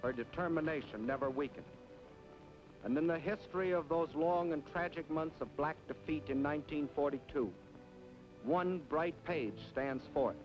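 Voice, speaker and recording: A man's voice: on the high side, normal speed. An American man narrating a wartime propaganda film in a grave, emphatic, declamatory 1940s newsreel style. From United States. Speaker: documentary narrator. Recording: dull 1940s film soundtrack. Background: orchestral music.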